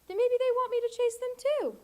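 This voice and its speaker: A young woman voicing a friendly, puzzled, slow-moving male storybook creature musing to himself. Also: very high-pitched, dark tone, pitched above the performer's own voice.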